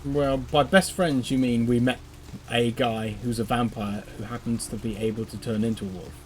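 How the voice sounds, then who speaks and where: A man's voice: mid-range voice, fairly even intonation, normal speed, a little mellow, slight background noise. A man giving casual, joking commentary as he plays and explains a video game, with a wry, playful manner. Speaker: gaming channel player and guide.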